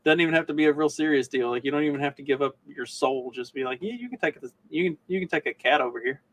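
A man's voice: fairly high pitch, fast, a bit bright. A man co-hosting a podcast, talking in a loose, casual, dryly joking way. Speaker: podcast co-host. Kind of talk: casual chat.

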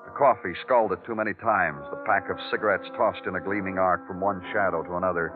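An actor playing a weary, hard-boiled police detective who questions tersely and narrates in a brooding, poetic way in a radio drama. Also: male voice, deep voice, steady tone, a little fast, natural voice. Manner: clipped, sardonic, poetic narration. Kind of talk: 1950s radio noir acting and narration. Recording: old narrow-band radio recording with music.

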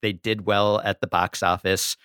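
A man's voice: on the low side, little pitch movement, unhurried, fairly bright tone, clean tone, no echo, studio quality. A man talking analytically as a podcast guest, casual, wry and careful to qualify what he says. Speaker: podcast guest, staff writer.

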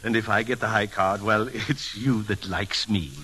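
An actor playing a genteel, slyly innocent deacon, formal, polite and unctuous, in old-time radio comedy style. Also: male voice, fairly low pitch, steady tone, moderate pace, pushed and bright, low-quality recording. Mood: sly, genial. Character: genteel, pious-sounding card sharp deacon, slyly innocent.

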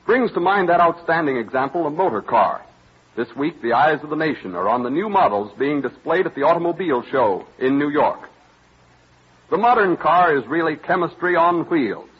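Slightly high, husky American radio announcer man. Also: expressive. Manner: formal, measured oratory. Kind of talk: formal scripted 1930s radio announcing. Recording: muffled vintage 1930s radio broadcast.

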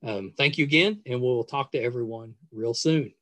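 A man's voice: medium pitch, normal speed, a bit bright, no echo. A man hosting a podcast, chatting casually to listeners in an effusive, gushing manner. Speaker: podcast host and interviewer. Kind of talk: casual chat and outro to listeners.

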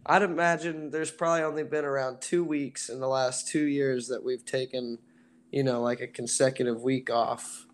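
A man who sings in a band, with a raspy voice, talking casually in an interview with lots of fillers. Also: mid-range voice, moderate pace, slight background noise. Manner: relaxed, rambling with many fillers. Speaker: band singer.

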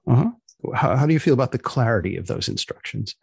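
A man's voice: medium pitch, animated, fast, vocal fry, husky, no room sound. A man hosting a podcast in a dry, understated conversational way, prompting his guest with questions. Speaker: podcast host. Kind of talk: conversational interviewing.

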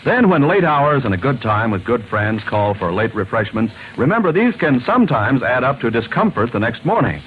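A man's voice: mid-range voice, sing-song, normal speed, hardly any pauses, phone-quality sound. A man reading a scripted old-time radio advertisement as an announcer, with emphatic, persuasive delivery. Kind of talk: scripted 1940s-style radio ad read. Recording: thin, faintly noisy vintage radio recording.

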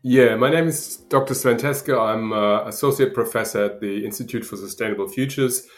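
A middle-aged man from Germany with a German accent, answering interview questions in a halting way with many fillers. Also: mid-range voice, faint music behind. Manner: halting, many ums and uhs. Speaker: guest researcher. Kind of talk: podcast interview.

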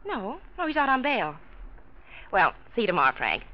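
Animated, trusting young secretary. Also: female voice, medium pitch, moderate pace. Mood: obliging, friendly. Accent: American. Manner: expressive, agreeable. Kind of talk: 1940s radio drama acting. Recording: dull noisy vintage radio recording.

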